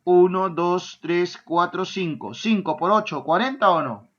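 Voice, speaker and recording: A male math teacher gives a lively class. He keeps checking in with his students. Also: high-pitched, a little slow, lo-fi sound. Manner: lively, asks "¿ya?" and "¿correcto?" often, answers his own imagined student questions. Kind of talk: online math lesson.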